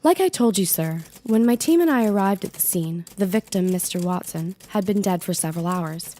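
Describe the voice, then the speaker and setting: A woman's voice: on the low side, expressive, brisk, warm tone, close to an everyday voice. A woman playing an earnest forensics chief on the witness stand in a comedy audio drama, speaking with matter-of-fact, slightly melodramatic authority. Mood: earnest, emphatic.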